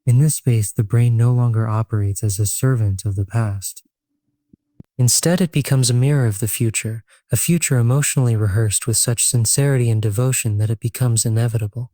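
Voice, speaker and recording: A man narrating a scripted guided sleep meditation in a solemn, measured, earnest way. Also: mid-range voice, dark tone, no echo, clean studio sound.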